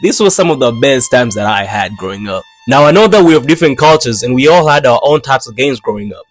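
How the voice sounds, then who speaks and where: A young man from Tanzania, telling funny childhood stories in a slangy, animated way. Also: medium pitch, quick pace, faint music behind. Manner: animated, slangy, playful delivery with dramatic swings. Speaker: animator narrating his own channel. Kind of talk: comedic storytime narration.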